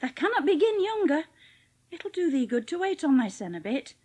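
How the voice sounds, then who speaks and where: A woman's voice: high voice, very animated, normal speed, dark tone. A woman playing a cheerful, plain-spoken young housemaid with a Yorkshire accent in a dramatised audiobook. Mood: brisk, chiding. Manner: chatty, blunt, homely dialect speech.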